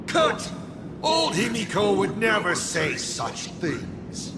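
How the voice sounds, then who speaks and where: An actor voicing a theatrical, mocking male villain in a video game, with a taunting, drawn-out delivery. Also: high-pitched, big pitch swings, noisy background, exaggerated voice. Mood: mock exasperation, menace. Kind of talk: dramatic video game voice acting. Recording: loud music under the voice. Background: music.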